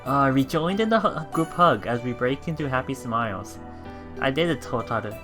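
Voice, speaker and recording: A let's player with a mid-pitched voice moves between reading visual novel narration and casual, off-the-cuff commentary. Faint game music plays behind the voice. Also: expressive, moderate pace.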